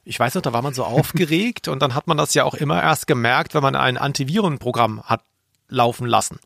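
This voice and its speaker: A man's voice: medium pitch, fairly quick, gravelly, a bit bright. A man co-hosting a podcast, chatting casually in a laid-back, hesitant and colloquial way. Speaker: podcast co-host.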